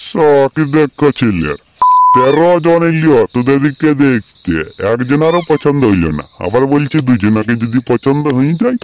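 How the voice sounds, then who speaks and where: A man's voice: medium pitch, animated, moderate pace, noisy background, natural voice. Man acting a bossy, scolding cartoon father. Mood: angry, scolding. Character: gruff scolding village father of two stammering sons. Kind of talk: comic cartoon dialogue in Bengali.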